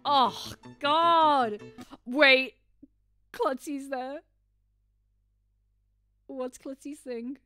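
A woman's voice: high voice, very slow, long pauses, pushed and bright. A woman streaming a casual let's play, rambling and throwing in reactive asides as game music plays behind her. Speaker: streamer reading all characters.

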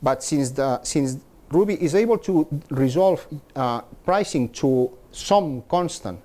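A man's voice: medium pitch, lively intonation, slow pace, dark tone. A middle-aged man gives a technical talk to a meetup audience, often repeating words and restarting as he explains. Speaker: conference speaker. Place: meetup room with projector screen.

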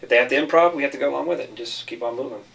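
A man's voice: mid-range voice, racing, gravelly, far from the mic, heavy background noise. A man giving conversational interview answers as a member of a film crew.

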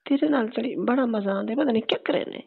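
Female caller, Saraiki accent, fairly high gravelly voice, casual intimate talk on a tinny phone line. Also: fairly quick. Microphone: telephone line. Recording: tinny phone call recording.

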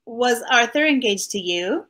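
Middle-aged sleuthing wife, highish voice, cool dry wit, old-time radio comedy. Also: female voice, expressive, measured pace, bright, forceful tone. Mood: cool, probing. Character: cool, dryly witty detective's wife. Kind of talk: 1940s radio comedy acting. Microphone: seated before camera on video call.

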